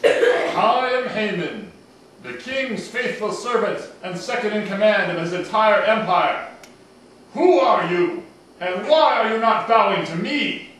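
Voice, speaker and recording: A man's voice: high-pitched, lively intonation, measured pace, a bit bright. A man voicing a cruel, scheming, pompous villain, the king's second-in-command, in a children's puppet show. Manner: pompous, sneering, theatrical. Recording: echoey room, distant mic, background noise.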